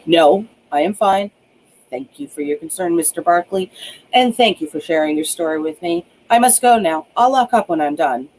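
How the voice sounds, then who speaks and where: A man plays a guarded young woman doctor in a novel. She is formal and dismissive, keeping her distance, pitched a little high over faint noise. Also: animated, moderate pace, close to an everyday voice. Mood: cool, dismissive.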